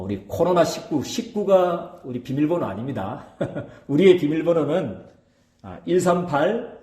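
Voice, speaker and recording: A pastor preaching in a lively, rising-and-falling voice with a warm, mellow tone, speaking in a regional dialect. He keeps turning to the congregation to ask "right?" and fills the gaps with hesitations. The sound carries an echo from the church room. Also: medium pitch. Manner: emphatic, checks in with the congregation by asking "right?"; many hesitation fillers between phrases. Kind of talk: sermon.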